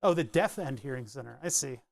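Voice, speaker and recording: A man's voice: medium pitch, lively intonation, a little fast, creaky, no room sound. A man chatting casually on a live stream as he builds electronics, rambling, answering chat and laughing often.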